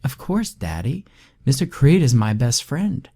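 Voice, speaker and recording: A man reading a horror story and voicing an eerie little girl who is sweet, childish and quietly menacing. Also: mid-range voice, big pitch swings, a little slow, pitched above the performer's own voice. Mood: sweet eager. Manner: sing-song, childish and sweetly threatening.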